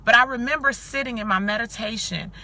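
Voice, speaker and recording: A woman in her forties hosting a casual motivational live stream, her voice hoarse and scratchy from cheering, chatting warmly and greeting viewers by name. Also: a little high, brisk. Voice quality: scratchy, growly. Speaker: live-stream host and coach for mothers.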